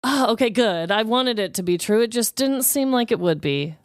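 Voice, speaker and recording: A woman's voice: a little high, expressive, moderate pace, smooth, no room sound. A woman co-hosting a podcast in casual comedic chat, playful, exclamatory and slangy. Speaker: podcast co-host.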